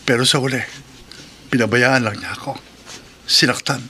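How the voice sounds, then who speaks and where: A man acts as a bewitched, wounded Filipino husband in a radio horror drama. His voice is mid-pitched with a slight creak and a forceful edge, over faint background noise. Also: steady tone, moderate pace, close to an everyday voice. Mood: wounded, pleading. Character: heartbroken married man under an enchantress's spell.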